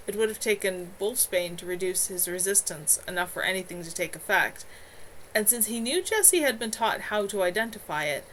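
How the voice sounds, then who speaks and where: A woman reading her own novel aloud in an expressive amateur storytelling style. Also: mid-range voice. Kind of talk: live amateur audiobook-style narration. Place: at home. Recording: clearly noisy home livestream recording.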